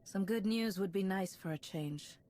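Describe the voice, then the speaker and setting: A woman's voice: medium pitch, moderate pace, warm tone, lightly acted. A woman playing a resolute, compassionate Jedi heroine in a video game, composed and earnest. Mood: concerned, reassuring.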